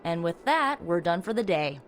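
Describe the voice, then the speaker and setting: An actress playing an informal, chatty and slightly awkward young sorceress in a video game. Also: female voice, on the low side, big pitch swings, moderate pace, a little hiss or hum. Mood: friendly, careful. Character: casual, eager young sorceress, a bit awkward. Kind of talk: video game cutscene acting.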